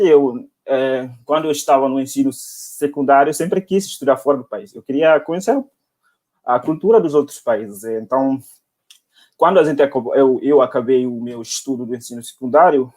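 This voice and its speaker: A young man with a Timorese accent and a fairly high voice talks casually as a guest. He is a non-native speaker who halts, searches for words and repeats short phrases as he explains. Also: darkish tone. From Timor-Leste. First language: not Portuguese. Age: young adult. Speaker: guest, international university student. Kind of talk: casual spoken account in a live interview.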